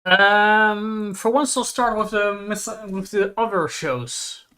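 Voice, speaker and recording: A man casually recapping anime as a livestream co-host, speaking haltingly and often repeating his words. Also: sits high, sharp tone.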